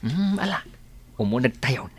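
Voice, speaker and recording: Radio drama role: woman performer as consoling elderly father, very low-pitched for a woman, animated swings, tender. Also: measured pace, full character voice. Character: gentle, consoling elderly father of a grown daughter.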